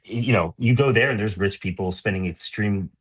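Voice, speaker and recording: A man from Peru chatting casually as a podcast panelist, rambling in an animated way and acting out imagined remarks. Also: lowish voice, fairly quick, breathy edge. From Lima, Peru. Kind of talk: casual political discussion.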